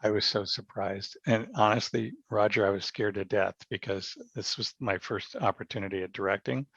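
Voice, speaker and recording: A man telling stories casually as an interview guest, animated and self-effacing. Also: on the low side, normal speed, gravelly, breathy edge. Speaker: guest, radio theatre director. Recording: dull, muffled lo-fi roomy sound.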